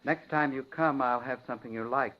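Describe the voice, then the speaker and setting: An American actor playing a quiet, polite, suspicious cafe cook in a 1950s radio thriller, courteous and clipped with underlying menace. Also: male voice, medium pitch, fairly quick, close to an everyday voice. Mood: quietly menacing. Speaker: radio drama supporting actor. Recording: muffled 1950s radio recording.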